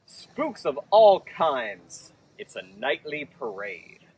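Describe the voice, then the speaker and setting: A man's voice: on the high side, very animated, slow, a little mellow, husky, recorded from a distance, voice pushed down, heavily acted, rough recording. A man voicing a sly, blustering father bear who tells spooky tales in an ominous, theatrical way for a children's storybook.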